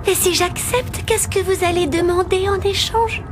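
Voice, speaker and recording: A woman voicing a young, lovestruck little mermaid in an animated fairy tale, earnest, sweet and pleading. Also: very high voice, moderate pace, music in the background. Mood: anxious.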